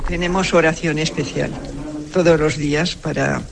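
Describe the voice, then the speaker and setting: A woman's voice: deep voice, steady tone, moderate pace, crowd noise. A woman answering interview questions as a cloistered nun, firm and matter-of-fact. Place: small convent parlour behind a grille. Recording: clearly noisy room recording with clicks.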